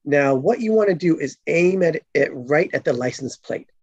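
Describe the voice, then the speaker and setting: A woman playing an easygoing, playfully boastful male cop in a comedy script read, teasing and laid-back with a husky, gravelly voice. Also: deep voice, animated, moderate pace, a little mellow, no echo, muffled recording. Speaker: actor in an online script table read. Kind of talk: live comedy screenplay table read.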